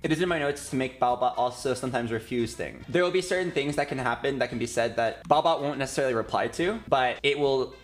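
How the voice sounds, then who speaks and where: A man's voice: medium pitch, fast, hardly any pauses. Casual young man over game music. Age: 20s. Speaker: streamer directing voice line recording. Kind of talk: casual livestream chat, giving directions. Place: indoors, streaming room. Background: video game music.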